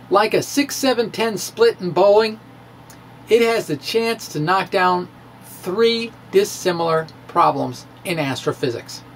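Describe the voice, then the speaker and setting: Higher-voiced American man, wry and theatrical. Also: creaky, slight background noise. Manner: theatrical, wry, self-deprecating. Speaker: science video blogger presenting his physics speculation. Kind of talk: dramatic reading of a science blog post with casual asides. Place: small indoor room with bookshelves. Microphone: handheld-style microphone on a stand, close in front.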